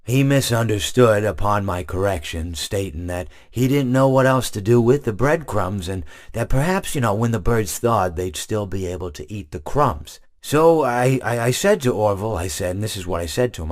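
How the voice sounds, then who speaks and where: A man's voice: on the low side, expressive, normal speed, few pauses, natural voice. A man voicing a rambling, long-winded animatronic hippo. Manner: rambling, many restarts, meandering anecdotes.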